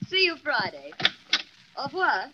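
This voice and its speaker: A poised, alluring secretary in a 1940s radio mystery. She speaks coyly with swooping, animated pitch and a polite sting. The sound is dull and muffled with age. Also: a little hiss or hum, heavily acted. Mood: coy, inviting. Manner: coy, smooth, politely cutting. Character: poised, coy, alluring female secretary to a rich man. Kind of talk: 1940s radio drama acting.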